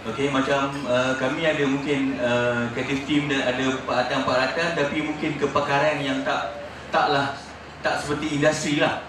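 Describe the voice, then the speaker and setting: A man's voice: mid-range voice, level tone. Hesitant Malaysian man in an echoey hall. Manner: hesitant, halting, searching for words. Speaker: audience member asking a question. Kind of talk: spontaneous question from the floor. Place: large indoor conference venue. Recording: very echoey hall, distant mic, clear noise.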